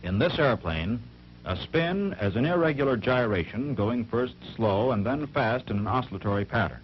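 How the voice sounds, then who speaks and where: A man playing an army flight instructor in a 1940s training film, explaining procedures step by step in a measured, authoritative way. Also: medium pitch, expressive, lightly acted. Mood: instructive. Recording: dull 1940s film soundtrack with hum.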